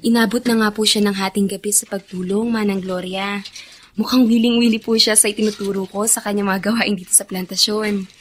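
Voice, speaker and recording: A woman's voice: medium pitch, steady tone, a little fast, a little hiss or hum, natural voice. A woman playing a kind, trusting and cheerful young plantation worker in a Filipino radio drama, speaking sweetly. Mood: sincere. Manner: sweet, friendly.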